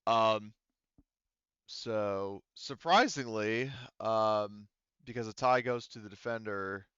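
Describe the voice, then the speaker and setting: A man acting as game master in a tabletop roleplaying game, explaining casually and haltingly as he thinks aloud. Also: on the low side, a bit bright, smooth, no room sound, muffled recording.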